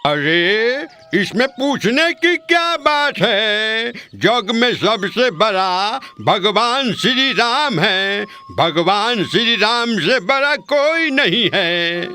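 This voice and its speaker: A man playing a pious, exasperated village priest in a comic cartoon story, grumbling and explaining in drawn-out phrases. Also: high-pitched, expressive, bright, forceful tone, a little hiss or hum, exaggerated voice. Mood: earnest explaining.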